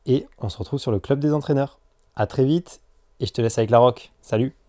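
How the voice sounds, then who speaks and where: A man's voice: medium pitch, fairly quick, warm tone. A man hosting a coaching podcast, introducing the episode and interviewing a guest, speaking straight to his listeners in a friendly way. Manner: friendly, direct address to listeners. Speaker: podcast host.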